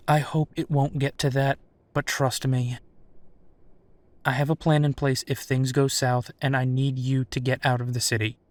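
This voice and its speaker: Male actor as steady werewolf detective, worried, caring, reassuring, mid-pitched, intimate audio roleplay, very dry recording. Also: measured pace, no room sound, close to an everyday voice. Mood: protective, reassuring.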